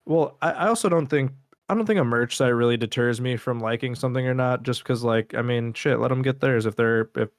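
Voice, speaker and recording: A man's voice: medium pitch, a little fast, few pauses, dry recording, studio quality. A man co-hosting a podcast, talking in a casual, slangy way with some swearing. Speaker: podcast co-host reviewing web horror series. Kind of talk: casual conversational chat.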